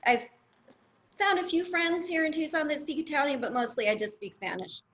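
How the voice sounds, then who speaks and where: A woman's voice: sits high, animated, brisk, a bit bright, highs cut off. A woman in her fifties, a podcast guest talking in a friendly, easy way about her experiences. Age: 50s. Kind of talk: casual conversation.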